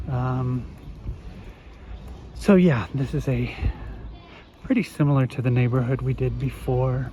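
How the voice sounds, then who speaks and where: A man with a hoarse voice, still recovering from an illness, strolls a residential street and gives a rambling walking-tour commentary full of ums. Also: mid-range voice. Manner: rambling, unhurried, many fillers. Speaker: vlogger. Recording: dull outdoor phone audio with traffic. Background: street traffic.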